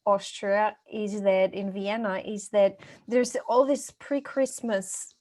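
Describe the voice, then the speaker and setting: A woman's voice: medium pitch, takes it slowly, a little mellow. A middle-aged woman from Croatia, chatting casually as a podcast guest and telling about her life. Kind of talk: casual conversational storytelling.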